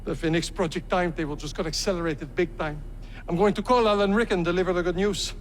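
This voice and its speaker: An actor playing an excitable, smug male scientist who speaks gleefully. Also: high voice, fast talker, big-room sound, a little hiss or hum, natural voice. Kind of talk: video game cutscene acting.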